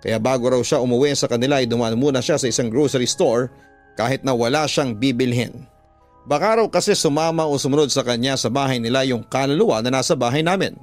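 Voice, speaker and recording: A man with a Filipino accent narrating a scripted story in a dramatic radio storytelling style. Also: medium pitch. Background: background music.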